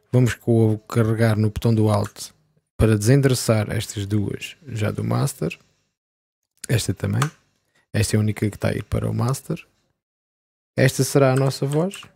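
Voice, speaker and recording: A man talking through a software tutorial step by step over a screen recording, in a relaxed explaining way. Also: lowish voice, vocal fry, breathy edge, no room sound. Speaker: tutorial host.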